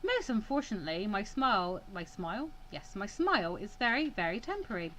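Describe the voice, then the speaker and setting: A woman's voice: medium pitch, very animated, brisk, fairly bright tone, a little hiss or hum, poor audio. A woman hosting a let's play, reading visual novel text aloud and breaking off for wry, sarcastic asides.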